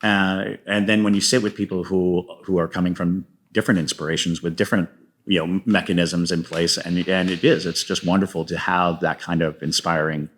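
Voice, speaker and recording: A man's voice: low voice, level tone, brisk, a bit bright. A man talking casually as a guest playwright in an interview, telling stories and slipping into quoted lines. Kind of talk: casual interview talk about own writing.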